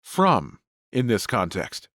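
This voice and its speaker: An expressive male lesson narrator. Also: lowish voice, slow pace, no echo, studio quality. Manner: clear, explanatory teacher delivery. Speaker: language course narrator. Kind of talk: scripted grammar lesson narration.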